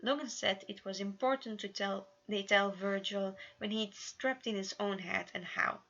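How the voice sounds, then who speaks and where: A woman's voice: medium pitch, fairly even intonation, normal speed, fairly bright tone, lo-fi sound. A woman narrating fan fiction in an amateur storybook style, stumbling over words and correcting herself now and then.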